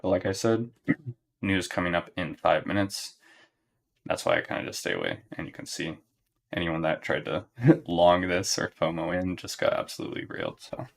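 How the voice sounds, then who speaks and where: A young man giving live trading commentary to his chat in a relaxed, monotone way, thinking aloud and often checking in with a "right?". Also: normal speed, gravelly. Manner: monotone, relaxed, low-key. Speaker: day trader streaming live.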